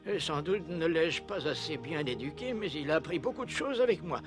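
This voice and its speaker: A man's voice: fairly high pitch, lively intonation, fairly quick, music in the background, natural voice. A man playing a proud, suspicious old man in a French cartoon dub, indignant and repeating himself. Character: grumpy, proud, suspicious old woodcarver father.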